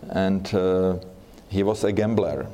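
A man with a Czech accent giving a church seminar talk, speaking haltingly with many fillers and restarts. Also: low-pitched, fairly even intonation, mellow. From Czech Republic.

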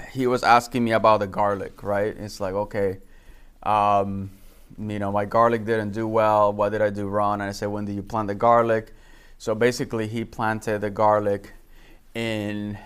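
A man's voice: mid-range voice, a bit bright, clear, resonant tone. A man from the Republic, teaching informally as a gardening instructor and often repeating words as he goes. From Dominican Republic. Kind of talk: informal lecture with personal anecdotes.

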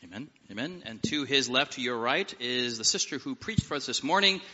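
A man who is a pastor, hosting a live seminar panel in a relaxed, joking, conversational way. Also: mid-range voice, a little fast. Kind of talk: opening prayer then panel introductions. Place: seminar hall with live audience. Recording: dull sound with long hall reverb.

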